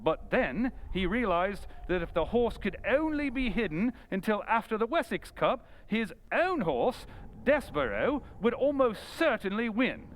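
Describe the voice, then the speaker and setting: A man playing a confident, clever gentleman detective with wry playfulness, brisk and theatrical, in an old-time radio drama. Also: high-pitched, sing-song, slight background noise. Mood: assured, explaining.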